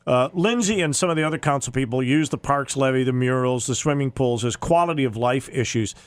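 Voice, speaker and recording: A man's voice: mid-range voice, fairly quick, no echo. An American man hosting a radio talk show, questioning and arguing emphatically in an opinionated live interview.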